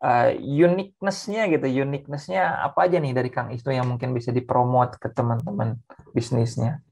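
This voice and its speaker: A man's voice: mid-range voice, steady tone, brisk, creaky, a little mellow. Casual man hosting a chat. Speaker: podcast host.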